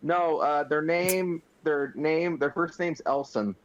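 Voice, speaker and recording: A man's voice: fairly high pitch, slow pace, highs cut off. A man speaking as a panellist in a casual group podcast chat.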